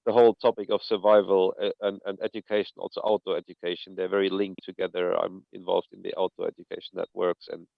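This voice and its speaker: A man with a low, gravelly voice speaks haltingly as a seminar participant, repeating words as he goes. The audio is dry and dull, like a muffled video call. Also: flat delivery, no echo. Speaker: survival instructor, participant. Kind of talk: casual discussion.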